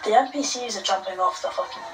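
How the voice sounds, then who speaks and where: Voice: mid-range voice, fast. A player chatting casually during a game, teasing and arguing with friends. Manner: teasing, argumentative. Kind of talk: casual gaming chat. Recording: echoey room, far from mic.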